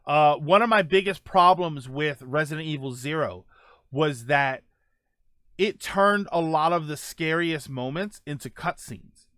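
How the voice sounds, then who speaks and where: A man's voice: on the high side, a little slow, fairly bright tone, dry recording, studio quality. A middle-aged man hosting a casual podcast, rambling through viewer comments with many fillers and self-corrections. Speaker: podcast host.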